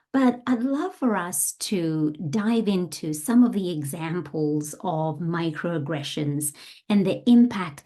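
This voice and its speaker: Low, mellow woman hosting a podcast. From Australia. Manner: earnest, explanatory, speaks directly to the listener. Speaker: podcast host. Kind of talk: solo podcast monologue.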